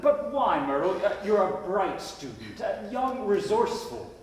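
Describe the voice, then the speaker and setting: Earnest male teacher, acted by a man, encouraging, halting, stage drama, heavy echo, far from the mic. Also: high-pitched, very animated. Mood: earnest, persuading. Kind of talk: staged play reading. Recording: echoey hall from far off.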